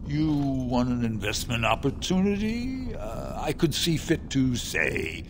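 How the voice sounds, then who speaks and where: An actor voicing a sly middle-aged male dwarf smuggler in a video game, making a wheedling sales pitch. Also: very animated, slow, slight background noise. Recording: game audio with music behind.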